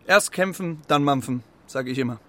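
A man's voice: a little high, moderate pace, bright, forceful tone. A man playing a cocky, boastful street pigeon in a children's radio play, swaggering and talking in clipped colloquial bursts. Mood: boastful, gruff. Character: cocky scrappy male street pigeon, boastful and gruff-hearted.

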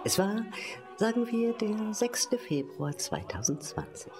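A performer playing a personified town as a musing, poetic narrator in an experimental radio play, speaking in halting, theatrical fragments. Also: mid-range voice, huge pitch swings, music in the background. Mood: musing, explanatory.

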